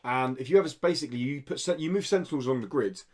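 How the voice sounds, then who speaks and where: A man's voice: medium pitch, animated, rapid-fire, a little mellow. A man co-hosting a podcast, chatting casually and enthusiastically about games in a rambling way. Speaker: podcast co-host. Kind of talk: casual gaming podcast chat.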